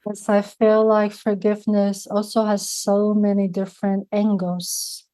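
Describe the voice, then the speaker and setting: Slow-spoken woman with a Mandarin accent. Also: medium pitch, monotone, mellow, poor audio. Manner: slow, thoughtful, searching for words. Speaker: guest. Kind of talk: reflective interview conversation.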